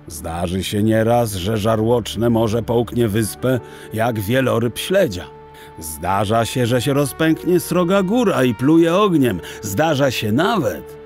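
Slow-paced man telling a children's story. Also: medium pitch, darkish tone. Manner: theatrical, deliberate phrasing. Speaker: audiobook narrator. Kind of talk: expressive literary storytelling for children.

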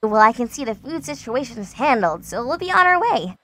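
A woman's voice: on the high side, big pitch swings, brisk, a bit bright. A woman voicing a studious, bossy young female unicorn in a cartoon fan dub, speaking precisely and insistently. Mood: polite, hurried.

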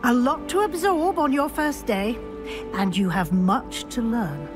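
A stern, brisk professor, crisp and businesslike, her fairly high voice riding over clear orchestral music in a game cutscene. She keeps things moving with clipped, no-nonsense authority. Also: lively intonation. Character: brisk older female professor, stern and proper.

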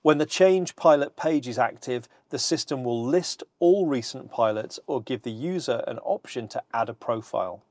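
A middle-aged man giving a scripted, measured walkthrough of a cockpit system, speaking precisely while seated in an aircraft cockpit. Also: medium pitch, expressive, darkish tone, dry recording, clean studio sound. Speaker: company presenter.

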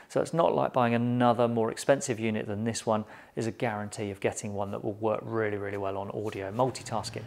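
A middle-aged British man with a mid-pitched voice full of gravelly creak reviews a product. He explains in a measured, matter-of-fact way, speaking to camera. The voice is picked up by a clip-on mic in a small studio. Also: a little mellow. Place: small indoor shop studio.

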